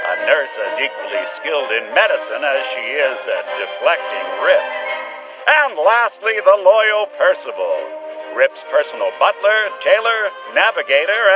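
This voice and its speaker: An actor playing a grandiose, excitable announcer of an old-time radio adventure serial, with showy, dramatic delivery. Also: male voice, sits high, poor audio. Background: music.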